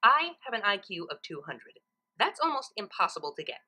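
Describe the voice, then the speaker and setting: A woman's voice: medium pitch, very animated, fairly quick, bright, forceful tone, heavily acted. A woman voicing an exasperated, condescending female alicorn pony in a storybook reading. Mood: dismissive, smug. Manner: indignant, condescending.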